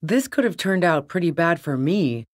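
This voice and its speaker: A woman's voice: on the low side, expressive, moderate pace. An actress playing a tough, wary, sardonic woman on the run in a video game, speaking bluntly. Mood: cool, wary. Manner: blunt, sardonic, foul-mouthed. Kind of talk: video game character dialogue.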